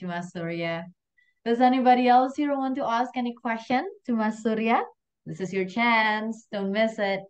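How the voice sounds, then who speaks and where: A young woman with an Indonesian accent, hosting an online class in a casual, chatty and giggly way. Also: medium pitch. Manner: chatty, giggly, self-deprecating. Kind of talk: casual chat. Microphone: video call.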